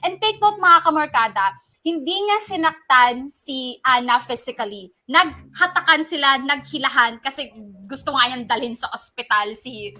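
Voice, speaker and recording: A Filipino woman co-hosting a casual advice talk, speaking in an expressive, conversational way and switching between two languages. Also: high-pitched, moderate pace. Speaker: radio show co-host. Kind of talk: casual advice talk, giving commentary to listeners.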